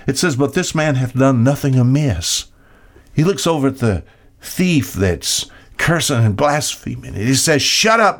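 A male preacher delivers a verse-by-verse sermon in a mid-pitched voice. He is emphatic, setting off key words with dramatic pauses and putting pointed rhetorical questions to his listeners. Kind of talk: expository sermon, reading and explaining Bible verses.

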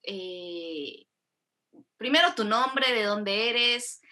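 A woman's voice: mid-range voice, lively intonation, very slow, bright, forceful tone. A woman from Mexico, hosting a casual interview and rambling animatedly with plenty of self-corrections. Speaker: host.

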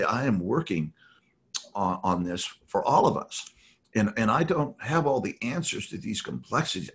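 A man's voice: fairly low pitch, fairly bright tone. A man talking in a reflective, rambling way, with many fillers and restarts, as a podcast guest. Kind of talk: reflective conversational talk.

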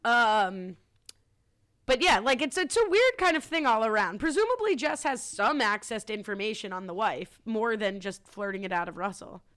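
A woman co-hosting a casual podcast, full of wry, teasing asides. Her voice sits at an ordinary middle pitch. She jokes easily and talks through episode recaps. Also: big pitch swings, normal speed, fairly bright tone. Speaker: podcast co-host.

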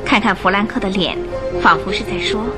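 A woman narrating a radio drama in an expressive, emotive storytelling style from a script. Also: mid-range voice, a little fast. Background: music.

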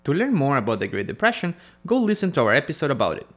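A man's voice: mid-range voice, sing-song, quick pace, a bit bright. A man from Ecuador co-hosting a history podcast, reading scripted narration in turns with his co-host.

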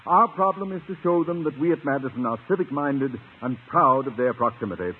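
An actor playing a pompous, short-fused high school principal in an old-time radio comedy, speaking in officious, formal phrases. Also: male voice, expressive, brisk, slightly rough. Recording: narrow, hissy old radio broadcast.

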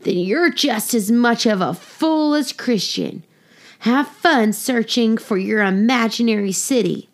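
Woman playing a stubborn, bossy storybook boy, slow-spoken. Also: a little high, animated. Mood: scornful. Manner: blunt, dismissive.

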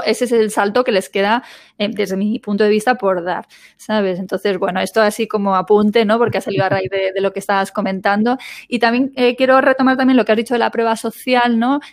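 A woman's voice: mid-range voice, steady tone, brisk. A woman hosting a casual conversational interview, chatty and full of little "¿no?" and "¿sabes?" tags. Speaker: podcast host.

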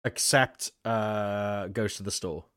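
A man's voice: fairly low pitch, takes it slowly, fairly bright tone, clear, resonant tone, no echo, clean studio sound. A man co-hosting a podcast, chatting casually and reacting with blunt, sardonic, sweary remarks. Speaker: podcast co-host. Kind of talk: casual banter, reacting to a co-host.

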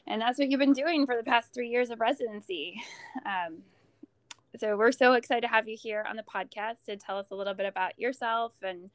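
Upbeat woman hosting a podcast. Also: medium pitch. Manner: upbeat and encouraging, warm reactions. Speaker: podcast host. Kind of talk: casual podcast interview.

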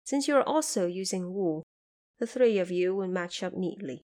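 A woman playing a compassionate female Bodhisattva in an audio drama, speaking in a measured, formal, instructive way. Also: fairly low pitch, lively intonation, darkish tone, natural voice. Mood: pleased. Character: female Bodhisattva, serene, compassionate, gently stern.

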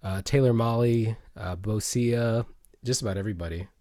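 A man's voice: on the low side, normal speed, warm tone, dry recording, studio quality. A man hosting a podcast in casual interview chat, agreeable and a little tentative as he works toward his questions. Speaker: podcast host.

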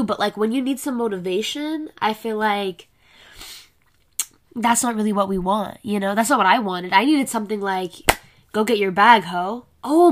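A woman's voice: a little high, pushed and bright. An eighteen-year-old woman hosting her own podcast, talking in a casual, blunt way with a congested, nasal voice and a lot of swearing. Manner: chatty, blunt, swears freely. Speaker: podcast host. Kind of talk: casual solo podcast chat. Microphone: laptop camera with a separate mic.

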